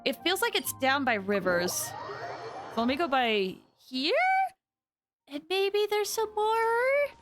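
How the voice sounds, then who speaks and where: A woman game streamer with a high, lively voice, chatting casually to her viewers. She is bubbly and expressive, thinking aloud over clear game music. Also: slow pace. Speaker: game livestreamer. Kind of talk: casual gameplay chat with viewers.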